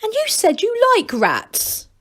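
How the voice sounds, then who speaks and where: Woman voicing an excitable, boastful young gnome lad. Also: very high-pitched, takes it slowly, voice pushed up, exaggerated voice. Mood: excited. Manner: breathless, babbling enthusiasm. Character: excitable young male gnome adventurer, boastful and wide-eyed.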